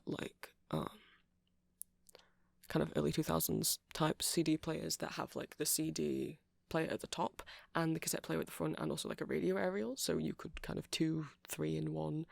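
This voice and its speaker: A man playing a nerdy, friendly vampire who keeps a music shop, rambling gently and hesitantly in a casual ASMR roleplay, with a creaky, soft-spoken voice. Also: a little high, no echo, exaggerated voice.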